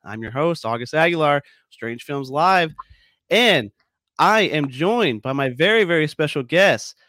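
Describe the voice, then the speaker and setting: A man's voice: a little high, lively intonation, unhurried, bright, forceful tone, no echo, clean studio sound. A male podcast host getting over a cold, a bit sniffly, talking in an upbeat, chatty way with lots of fillers. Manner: upbeat, chatty, many ums and uhs. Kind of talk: casual podcast chat.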